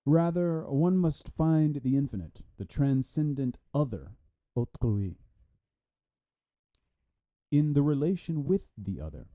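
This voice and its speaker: Hombre de voz muy oscura y apagada. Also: male voice, on the high side, lively intonation, slow pace, long pauses, warm tone. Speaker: reader of a philosophy article. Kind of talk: read-aloud scholarly text with brief explanatory asides.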